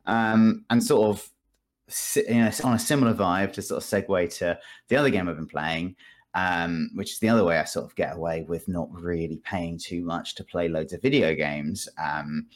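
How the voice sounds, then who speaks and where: Male podcast guest, low voice, casual and conversational, dry sardonic humour. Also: normal speed. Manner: wry, sardonic, jokey. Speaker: podcast guest discussing video games.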